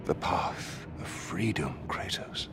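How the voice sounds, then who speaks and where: An actor playing a solemn, secretive guide in a video game, speaking in a grave, measured, cryptic way. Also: male voice, deep voice, animated, gravelly, exaggerated voice. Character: solemn, secretive male guide to a troubled warrior. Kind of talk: dramatic video game acting. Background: music.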